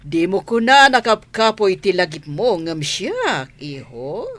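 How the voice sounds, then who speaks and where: Woman doing a suspicious bossy madam in a radio drama, very animated pitch, scolding and grilling people. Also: takes it slowly, exaggerated voice. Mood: curious, motherly. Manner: interrogating, exclaiming, emphatic. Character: nosy, suspicious, scolding household madam and mother. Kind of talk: Ilocano radio comedy drama acting.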